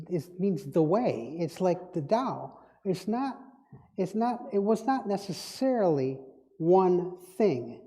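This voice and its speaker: Slow, dark-voiced man. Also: sits high. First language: Dutch. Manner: reflective, musing, circles back on words. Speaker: guest speaker. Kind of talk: spiritual talk. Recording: echoey hall reverb.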